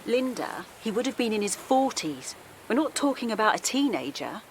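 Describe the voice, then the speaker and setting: High-pitched, indignant, outspoken woman activist. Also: sing-song, normal speed, background noise. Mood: incredulous, indignant. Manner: challenging, pointed questioning. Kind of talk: naturalistic audio drama acting.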